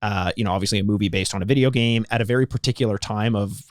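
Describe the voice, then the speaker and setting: A man's voice: on the low side, very fast, dry recording, studio quality. A man co-hosting a podcast in casual, chatty conversation about a film, with some casual swearing. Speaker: podcast co-host.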